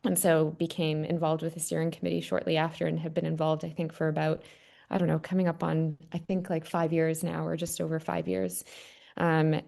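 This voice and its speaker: Low, gravelly young Canadian woman. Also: darkish tone. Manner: chatty, with many "kind of" fillers. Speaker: guest panelist. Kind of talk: casual webinar talk. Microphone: video call.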